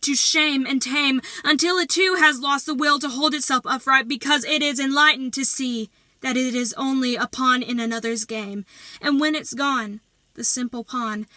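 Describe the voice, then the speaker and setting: A woman performing slam poetry as a poet, with dramatic, deliberate delivery and emphatic phrasing. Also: high-pitched, sharp tone, dry recording.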